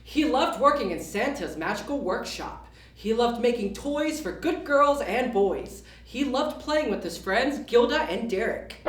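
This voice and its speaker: A woman reading a children's storybook aloud in an expressive style. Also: a little high, a little slow, hardly any pauses, slight background noise. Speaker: storybook reader. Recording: roomy echo, distant mic.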